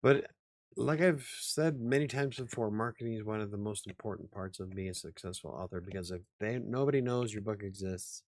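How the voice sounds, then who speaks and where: A man hosting a casual after-hours chat show, rambling, laughing often and swearing now and then, with a slightly raspy voice. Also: fairly low pitch, a little fast, dry recording, studio quality. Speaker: host.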